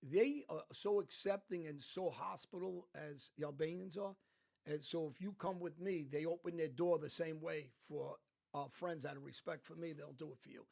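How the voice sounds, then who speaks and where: American guy, gravelly, kinda high voice, emphatic storytelling, restarts a lot. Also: fairly even intonation, normal speed, darkish tone. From United States. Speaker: podcast guest. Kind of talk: casual conversation.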